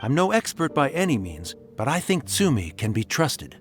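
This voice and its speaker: A man's voice: medium pitch, sing-song, normal speed, faint music behind, natural voice. An actor playing an earnest, trusting young soldier in a video game, telling his account sincerely. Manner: sincere, storytelling. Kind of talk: video game character dialogue.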